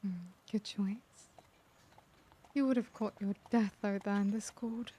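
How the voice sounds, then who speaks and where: Mellow, genteel vampire lady, voiced by a woman. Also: medium pitch, steady tone, a little slow, close to an everyday voice. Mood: gentle, welcoming. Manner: genteel, gentle, doting, formal wording. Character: elegant, caring vampire lady of refined old-world manners. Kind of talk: soft-spoken ASMR roleplay.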